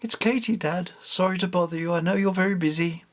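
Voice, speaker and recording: A man's voice: high voice, brisk, voice pushed up, close to an everyday voice. Man voicing an anxious young mother.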